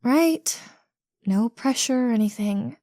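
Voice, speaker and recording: A smug, popular teenage cheerleader, acted by a woman for a romantic audio roleplay. She is sassy and quick to defend herself, yet draws her words out at an unhurried pace. The sound is very dry. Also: fairly high pitch, expressive, dark tone, no echo. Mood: impatient. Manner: sassy, pushy.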